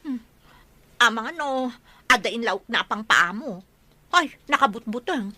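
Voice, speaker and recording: A woman's voice: on the high side, lively intonation, normal speed, bright, forceful tone, no echo, exaggerated voice. A woman playing an irritable, scornful young woman in an Ilocano radio drama, with a curt, complaining manner. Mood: dismissive. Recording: music behind the voice.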